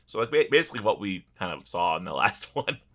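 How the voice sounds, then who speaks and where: A man with a lowish, bright voice chats casually as a podcast co-host. His pitch moves around freely and animatedly. He speaks in slangy, halting bursts, slipping into quotes as he retells a story. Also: very animated. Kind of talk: casual chat about a game audio drama.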